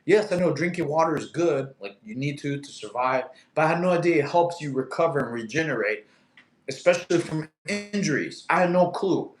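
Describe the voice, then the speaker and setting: A man's voice: a little high, lively intonation, a little fast, slight background noise. A man in his thirties whose first language is Russian, casually chatting on a livestream, reading comments and laughing often. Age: 30s. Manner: laid-back, chatty, laughs often, talks to his cat. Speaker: livestream host. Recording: echoey room.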